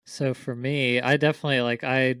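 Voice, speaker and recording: Clear-voiced man chatting about games. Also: medium pitch, level tone, fairly quick, fairly bright tone, no echo, clean studio sound. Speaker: podcast co-host. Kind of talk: casual chat about video games.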